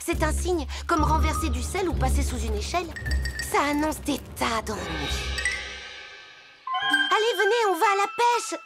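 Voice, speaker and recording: A woman's voice: sits high, very animated, normal speed, long pauses. A woman voicing a superstitious, dramatic young female animal in a children's cartoon, speaking emphatically. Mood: earnest warning. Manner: emphatic, dramatic, given to gloomy warnings. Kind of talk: children's cartoon dub acting. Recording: music under the voice.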